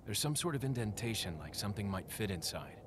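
An actor playing a cool, dry young government agent in a video game, terse and matter-of-fact. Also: male voice, fairly low pitch, very animated, brisk. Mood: cool, focused. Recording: quiet game audio with noise.

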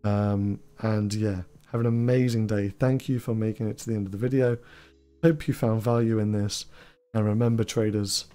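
Low-key young man, mellow. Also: moderate pace. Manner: self-described monotone, rambling with fillers. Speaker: trading channel host. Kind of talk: casual talking-head advice video. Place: small room indoors. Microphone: studio mic on boom arm, headphones.

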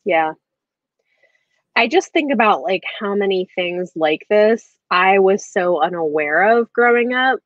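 A woman's voice: mid-range voice, lively intonation, measured pace, dry recording. A woman in her thirties in a casual book discussion on a video call, thinking aloud in a hesitant, rambling way. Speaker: book club livestream host. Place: indoor room at home, on a video call. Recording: slightly dull video-call audio.

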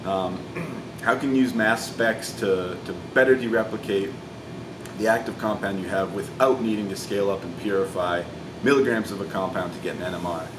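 A man's voice: fairly low pitch, normal speed. A man in his thirties giving an earnest, informal explainer to camera as a science video host. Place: indoor laboratory with large windows. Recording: echoey room, distant mic, clear ventilation noise.

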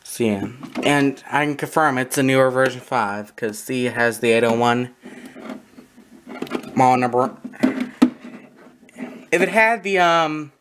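A person giving a casual, halting, matter-of-fact show-and-tell narration, recorded on a handheld camera in a room at home. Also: mid-range voice, noisy background. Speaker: video creator showing a radio collection. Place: small room at home, indoors. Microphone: handheld camera close by.

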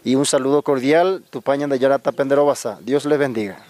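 A middle-aged Paraguayan man speaks as a priest in a medium-pitched voice. He delivers a short greeting to camera in the open air. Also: fairly even intonation, brisk, darkish tone, slight background noise. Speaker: priest, youth ministry adviser. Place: outdoors in a garden courtyard.

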